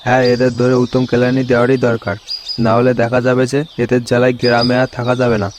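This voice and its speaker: A man's voice: medium pitch, monotone, fast, noisy background, close to an everyday voice. A man voicing disapproving, gossiping village men who grumble and moralize in a comedy cartoon.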